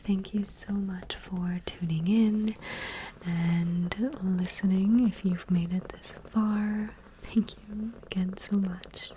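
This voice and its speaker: A woman ASMR host softly reading in a hushed, breathy whisper, gentle and unhurried, item by item. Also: mid-range voice, fairly even intonation, slight background noise, low-quality recording. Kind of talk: soft-spoken ASMR, whispered card reading.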